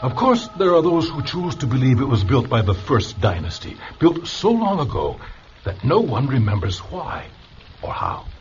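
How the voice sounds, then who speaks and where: An actor playing a talkative, opinionated old philosopher in a radio drama, musing theatrically with rhetorical questions. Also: male voice, mid-range voice, sing-song, normal speed, close to an everyday voice. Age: older. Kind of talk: vintage-style radio drama acting. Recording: dull lo-fi radio drama recording. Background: music.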